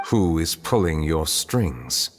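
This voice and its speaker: A man voicing an ancient, composed chief justice in a video game, speaking formally and calmly. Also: extremely low pitch, exaggerated voice. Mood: stern, calm. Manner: calm, formal, measured. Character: centuries-old solemn male chief justice, composed and formal. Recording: long reverb, music behind.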